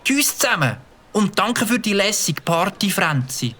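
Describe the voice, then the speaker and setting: Grumbling cartoon beaver kid, slow, bright lively voice. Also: medium pitch, exaggerated voice. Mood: queasy. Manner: grumbling, exasperated. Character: fed-up young male beaver kid.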